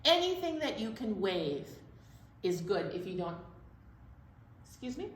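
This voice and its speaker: A woman's voice: mid-range voice, big pitch swings, unhurried. A woman hosting a children's storytime, talking playfully and directly to young children. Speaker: children's library storytime host. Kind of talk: chatty preschool storytime talk. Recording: echoey room, distant mic, faint noise.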